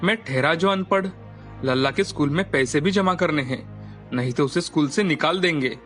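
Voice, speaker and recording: A man's voice: fairly high pitch, normal speed, a bit bright, soft background music, natural voice. An actor playing a humble, earnest man in a moral story, speaking politely and pleadingly. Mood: troubled, pleading. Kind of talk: Hindi moral-story voice acting.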